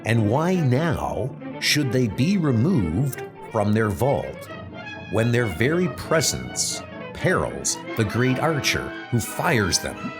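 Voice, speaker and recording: A man's voice: medium pitch, big pitch swings, takes it slowly, music in the background. A man narrating a superhero comic script in a theatrical, suspenseful manner.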